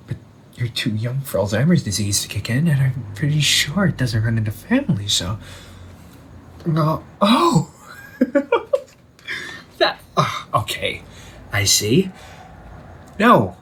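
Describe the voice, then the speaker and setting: A man playing an undead older brother returned from the sea, talking in a hushed, halting, teasing way in an audio roleplay. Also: mid-range voice, a little hiss or hum. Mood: incredulous, reassuring. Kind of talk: audio roleplay monologue to the listener.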